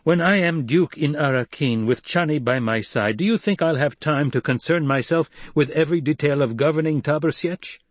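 A man voicing an intense young male desert leader in an audiobook, speaking with emphatic, deliberate command. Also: fairly high pitch, no room sound, pitched above the performer's own voice, lightly acted. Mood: firm, commanding.

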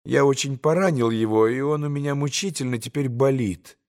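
A man reading an audiobook and voicing a gentle, modest young man who speaks quietly and mildly. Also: mid-range voice, fairly quick, natural voice. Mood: polite, mild. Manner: soft, mild and restrained.

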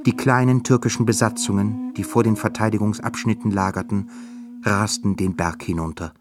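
An actor playing a solemn literary narrator of a war epic in a German radio play. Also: male voice, lowish voice, steady tone, moderate pace, close to an everyday voice. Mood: grave, dramatic. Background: music.

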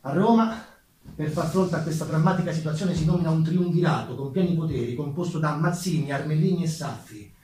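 A man's voice: fairly high pitch, dark tone. A man delivering dramatic theatrical narration of history, declamatory and emphatic. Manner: declamatory, emphatic, measured pauses. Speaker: stage actor, narrator. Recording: roomy, distant stage sound with music.

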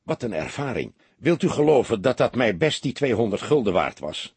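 Man as a cheerful, courteous gentleman, animated pitch. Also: normal speed, breathy edge, no room sound, exaggerated voice. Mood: amused, curious. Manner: curious, courteous. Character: cheerful, polite gentleman, excited amateur helper.

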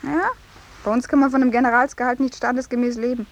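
An actress playing a spirited, haughty and naive young daughter of a general in a 1950s radio play. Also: female voice, on the high side, steady tone, quick pace. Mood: confiding.

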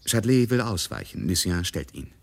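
Low, husky male narrator. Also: big pitch swings, fairly quick, darkish tone. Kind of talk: dramatic literary narration in a radio play.

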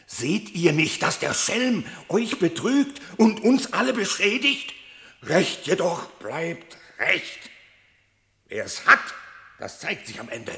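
A man's voice: high voice, bright, forceful tone, echoey room, in a large hall, voice pushed up, heavily acted. A narrator voicing an angry male wolf who grinds his teeth while accusing. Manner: grinding teeth, bitter, slow.

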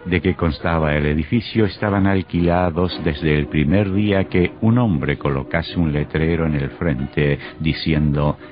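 A man acting as the grave, deliberate narrator of a horror radio drama. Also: a bass voice. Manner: slow, deliberate storytelling. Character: grave male narrator of a sinister radio tale. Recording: dull lo-fi old radio recording, faint music.

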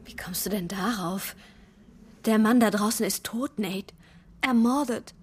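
An actress playing an anxious, conscientious young pregnant woman in a radio crime drama, breathy and often near a whisper. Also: mid-range voice, a little slow. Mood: anxious, disapproving. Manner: worried, hesitant.

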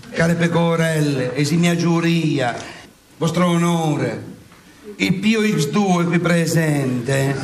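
Boastful old-church friar saint: high voice, sarcastic oratory, satirical theatre, echoey distant stage recording. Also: male voice. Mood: mock-courtly, needling. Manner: declamatory, sarcastic, mock-courtly oratory. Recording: echoey stage, distant mic, faint noise.

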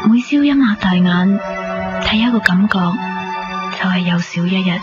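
A woman's voice: mid-range voice, moderate pace, natural voice. A woman with a Hong Kong Cantonese accent playing a tender, playful young woman in love in a radio drama. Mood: wistful. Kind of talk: 1990s radio drama acting. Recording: dull lo-fi radio recording under music.

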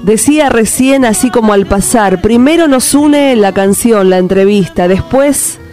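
A woman's voice: fairly high pitch, measured pace, mellow, soft background music. A woman hosting a live radio interview, speaking in effusive, lyrical and welcoming phrases. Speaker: radio host.

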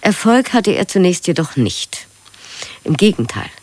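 A radio presenter reading a scripted introduction in a measured, informative style. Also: medium pitch, sing-song, darkish tone, dry recording.

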